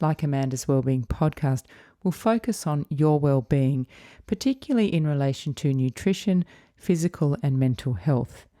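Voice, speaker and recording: A middle-aged woman, hosting a wellbeing podcast in a measured, deliberate way. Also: low voice, dark tone, dry recording, studio quality. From Australia. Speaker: podcast host. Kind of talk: scripted intro then interview questions.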